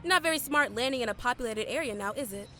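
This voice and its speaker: A woman's voice: fairly high pitch, animated, fast, slight background noise. A woman playing a stern, scolding leader. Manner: sharp, scolding, commanding. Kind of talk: audio drama acting.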